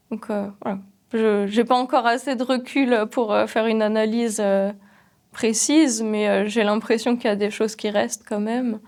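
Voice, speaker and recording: Earnest young woman, fairly high voice. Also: steady tone, a little slow, a little mellow. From Alsace. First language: Alsatian. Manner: thoughtful, hesitant, earnest. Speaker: Alsatian language teacher. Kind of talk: interview testimony.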